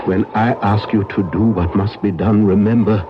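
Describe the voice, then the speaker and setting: An actor playing a grave, commanding older professor who hunts vampires, speaking solemnly and deliberately in a 1970s radio drama. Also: male voice, on the low side, steady tone, lightly acted. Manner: solemn, deliberate, urgent instruction. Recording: dull noisy 1970s radio broadcast.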